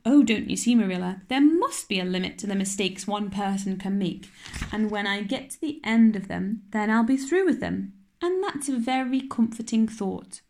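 A woman's voice: mid-range voice, normal speed, a little mellow, natural voice. A woman voicing an imaginative, dramatic orphan girl of about eleven who pleads in a tearful, overwrought way. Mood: earnest, hopeful.

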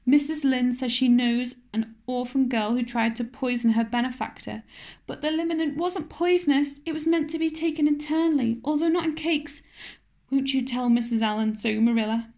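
A woman's voice: high-pitched, normal speed, a little mellow, pitched above the performer's own voice. A woman voicing an imaginative, dramatic orphan girl of about eleven who pleads in a tearful, overwrought way. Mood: tearful despair.

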